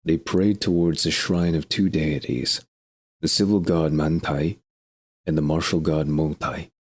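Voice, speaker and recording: A very deep-voiced man narrates a scripted drama. His tone is husky and rough as he sets out each scene. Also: steady tone, measured pace.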